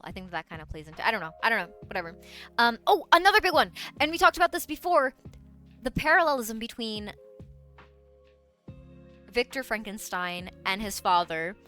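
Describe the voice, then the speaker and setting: A woman streamer chats loosely on a livestream, circling back and restarting her sentences. Her voice is bright and sits at a middle pitch. Faint music plays underneath. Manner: rambling, self-interrupting, restarts a lot. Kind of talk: casual livestream chat.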